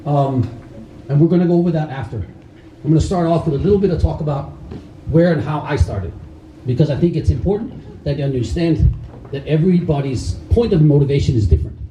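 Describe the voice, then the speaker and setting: Dark-voiced man in a roomy hall. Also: a little high, fairly quick, a little hiss or hum. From Denmark. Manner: loose, rambling delivery with frequent fillers and restarts. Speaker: karate instructor speaking at a camp. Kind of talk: talk to a seated group. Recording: roomy hall sound from a distance.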